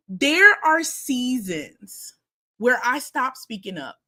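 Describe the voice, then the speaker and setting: A woman hosting a live stream show, talking casually to her viewers in a chatty, animated way. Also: a little high. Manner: chatty and animated, addresses viewers as y'all and honey.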